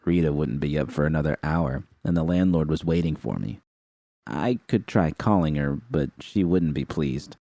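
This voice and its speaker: A man narrating a first-person fan story in a steady, unhurried reading. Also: rumbling low, no echo, studio quality. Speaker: fan fiction reader.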